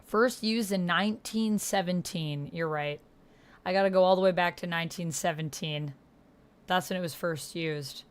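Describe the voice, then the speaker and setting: A woman hosting an online roleplay, chatting casually and thinking out loud, sometimes reading typed chat aloud. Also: lowish voice, moderate pace. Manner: musing aloud to herself. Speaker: host of an online text roleplay playthrough. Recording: keyboard typing, clear background noise. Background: computer keyboard typing.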